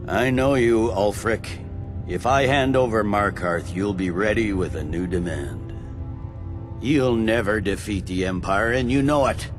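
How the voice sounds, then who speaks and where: An actor voicing a stern, impatient middle-aged general in a fantasy game, clipped and commanding. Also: male voice, on the low side, natural voice. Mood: bitter, threatening. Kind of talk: dramatic fantasy game dialogue.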